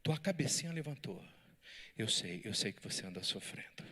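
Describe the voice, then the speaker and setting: Bright-voiced pastor teaching animatedly. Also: male voice, lowish voice, moderate pace, slightly rough. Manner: animated, lively preaching with audience banter. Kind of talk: Bible study teaching. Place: church.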